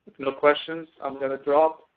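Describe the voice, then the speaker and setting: A man working as a college instructor, explaining step by step in an online class with a halting delivery that often restarts. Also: fairly high pitch, level tone. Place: online screen-sharing class session. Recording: tinny phone-like webinar audio.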